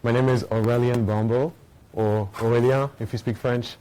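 A man's voice: mid-range voice, flat delivery, normal speed, mellow, low-quality recording. A man from Belgium giving a casual technical conference talk to a room, often checking in with "right?" between points. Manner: casual, explaining, frequent "right?" and "uh" fillers. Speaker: conference speaker. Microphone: small recording mic.

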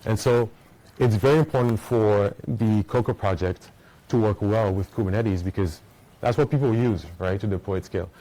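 Male conference presenter from Belgium, deep and warm, casual explainer with plenty of fillers. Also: low-quality recording. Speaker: conference speaker. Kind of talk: technical conference talk. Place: conference room with an audience. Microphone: small recording mic.

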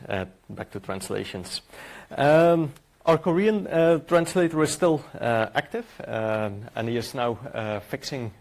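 A man with a Dutch accent presents at a conference, his voice rough and gravelly at the edges. He speaks in a hesitant way, with filler sounds and restarts throughout, at an ordinary middle pitch. He is middle-aged and a native Dutch speaker. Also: a little mellow. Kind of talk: presenting a technical conference talk.

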